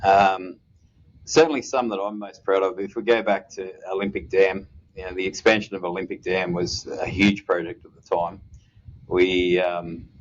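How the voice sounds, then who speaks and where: An Australian man in his fifties with a very deep voice that stays flat and even, speaking in a measured way with frequent pauses. The sound is slightly dull and lo-fi. He answers interview questions about his career as a guest. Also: a little hiss or hum. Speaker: mining executive guest.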